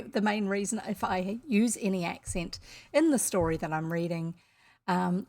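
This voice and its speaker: A woman's voice: lowish voice, vocal fry, darkish tone. A New Zealand woman streamer chatting casually to her viewers, rambling along with lots of fillers. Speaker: storytelling streamer.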